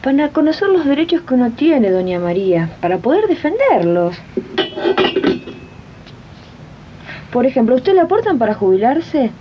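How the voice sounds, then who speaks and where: A woman's voice: sing-song, a little fast, background noise. A woman playing an assertive, friendly housemaid in an amateur radio drama, speaking earnestly with an Argentine accent. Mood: persuasive. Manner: earnest, explanatory, addresses listeners as comrades. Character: assertive young housemaid and union-minded worker, friendly. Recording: dull, rumbling archive radio tape.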